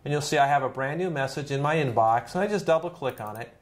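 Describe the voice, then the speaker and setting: Casual man giving a software walkthrough. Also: medium pitch, fast talker. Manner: casual, conversational, demonstrative. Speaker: software trainer. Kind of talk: informal step-by-step software tutorial narration.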